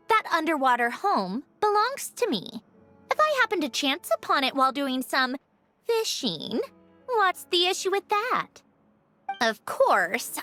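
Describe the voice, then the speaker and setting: Haughty, lively-pitched mechanical villainess. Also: female voice, exaggerated voice. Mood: sly. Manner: haughty, crisp, dryly sardonic. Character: haughty doll-like mechanical young woman, sardonic villainous aristocrat. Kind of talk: video game voice acting.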